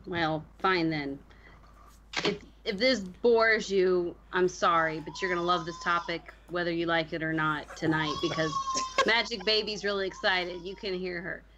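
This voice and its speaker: A woman's voice: on the low side, fairly even intonation, a little slow. A woman co-hosting a live podcast in casual, chatty talk. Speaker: podcast co-host. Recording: dull lo-fi mic with noise, clicks.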